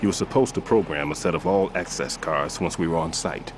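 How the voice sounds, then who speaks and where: A man playing a methodical, somber veteran bomb disposal expert in a video game cutscene, explaining precisely and gravely. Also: sits low, a little fast, background noise, natural voice. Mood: instructive, serious. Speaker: demolition expert giving instructions.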